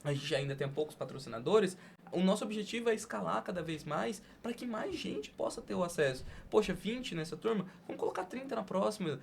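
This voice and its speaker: A Brazilian man hosts a casual podcast interview. His voice sits quite high for a man and carries a marked vocal fry. The recording has clear background noise. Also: animated, a little fast. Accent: Brazilian Portuguese. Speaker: podcast host.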